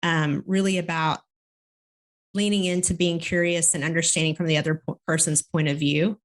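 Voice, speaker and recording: A woman's voice: low voice, little pitch movement, normal speed. A woman co-hosting an interview, asking long searching questions with restarts. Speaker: podcast co-host.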